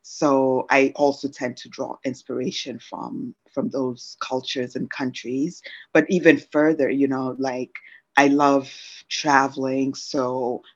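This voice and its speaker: A woman's voice: rumbling low, fairly even intonation, slow. Congo-born woman founder as podcast guest, loose and chatty, muffled lo-fi sound. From Democratic Republic of Congo. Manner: chatty, many fillers like um and you know. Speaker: fashion brand founder, guest. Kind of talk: casual interview answers. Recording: dull lo-fi audio.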